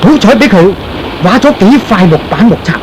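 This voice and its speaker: A man's voice: high voice, expressive, brisk, noisy background. A man narrating a scripted serial drama in the dramatic manner of old radio storytelling.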